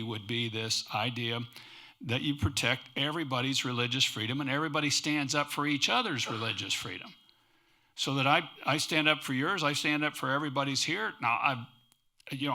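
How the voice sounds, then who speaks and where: Man, panelist, higher bright voice, emphatic and conversational. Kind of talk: panel discussion. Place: conference panel before an audience.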